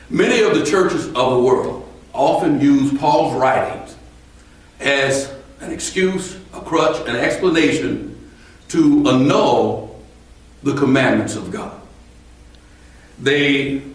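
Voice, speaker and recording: A man preaching a sermon in a temple, his voice a little strained, speaking slowly and deliberately and rising to emphatic points. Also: medium pitch. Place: temple sanctuary. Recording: echoey hall from far off.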